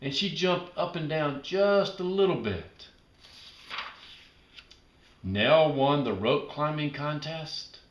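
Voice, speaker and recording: A man narrating a children's storybook aloud in an expressive, emphatic storytelling manner. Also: on the high side, takes it slowly, pauses often, reverberant, muffled recording, slight background noise.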